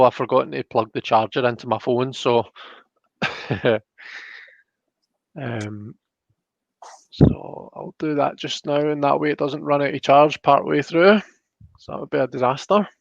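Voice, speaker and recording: A man's voice: mid-range voice, gravelly, fairly bright tone, dry recording, lo-fi sound. A man hosting a casual livestreamed tarot reading, explaining things in an informal, hesitant, chatty way. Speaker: host.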